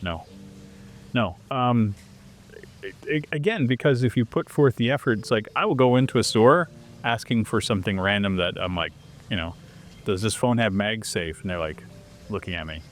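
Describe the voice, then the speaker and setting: A man's voice: fairly low pitch, moderate pace, slight background noise. A man from Canada, chatting as an interview guest and acting out little exchanges as he tells them. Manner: animated storyteller who acts out quoted exchanges. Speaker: interview guest, foreigner living abroad. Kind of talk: casual interview chat.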